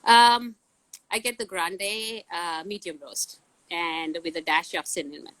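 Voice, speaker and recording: A woman in her forties, a science teacher, answering interview questions outdoors in a loud, booming voice, laughing often. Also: steady tone, a little slow, no echo, low-quality recording. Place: outdoors in the wind.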